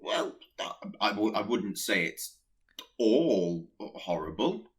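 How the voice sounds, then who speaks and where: A man's voice: fairly low pitch, sing-song, slow pace, slightly rough, full character voice. An actor in a radio play playing a nervous, awkward Pestilence who hesitates and stammers as he secretly admires catastrophe. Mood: hesitant admiration.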